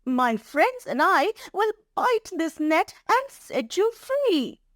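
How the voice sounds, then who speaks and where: Tiny storybook mouse, voiced by a woman: squeaky-high pitch, courteous, timid, helpful. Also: big pitch swings, slow, no room sound, exaggerated voice. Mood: reassuring, eager. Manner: pleading, eager, polite.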